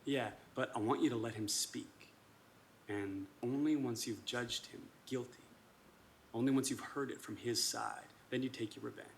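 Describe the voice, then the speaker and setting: Creaky-voiced, hesitant man acted on stage. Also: medium pitch, moderate pace, close to an everyday voice. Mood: earnest, unsure. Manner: hesitant, rambling, casual. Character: Tom, hesitant, self-doubting army officer friend. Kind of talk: naturalistic conversational stage acting. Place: indoor performance space. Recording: live stage video with background noise.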